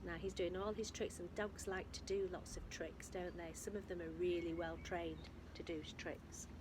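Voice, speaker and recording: A woman's voice: on the low side, flat delivery, normal speed, background noise. A woman reads a picture book aloud to young children, expressively and emphatically, chatting to her listeners as she goes. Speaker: infant school storyteller.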